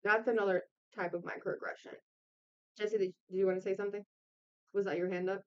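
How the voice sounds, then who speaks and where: A woman's voice: medium pitch, fairly even intonation, brisk, a little mellow, clean tone, highs cut off. A woman from Puerto Rico giving an informal online lecture in a conversational way, often checking in with her listeners. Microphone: video call.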